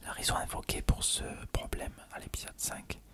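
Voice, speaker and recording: Whispered voice, ASMR host, unvoiced, measured reading, explanatory narration. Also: pushed and bright.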